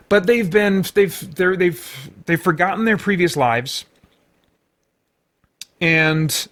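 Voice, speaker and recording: A man giving an unscripted movie review as a host, speaking emphatically in a rambling, halting way with repeated false starts. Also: on the high side, fairly bright tone. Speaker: podcast host and film reviewer.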